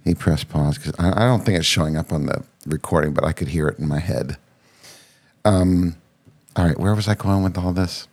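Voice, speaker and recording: A man hosting a podcast in casual, rambling chat, his throat congested and his voice husky from a sinus cold. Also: low-pitched, moderate pace, darkish tone. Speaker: podcast host.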